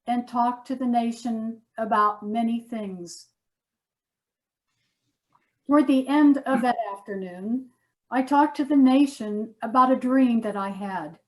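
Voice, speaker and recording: A woman reading a speech aloud in a measured, solemn theatrical manner. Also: on the high side, rough recording. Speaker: amateur stage reader.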